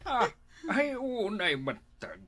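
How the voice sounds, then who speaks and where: Older busybody neighbor for village comedy male actor: husky, bright-edged voice, exclamatory and meddling. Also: high voice, wildly swooping pitch, slow, heavily acted. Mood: curious. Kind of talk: Ilocano barangay comedy drama acting.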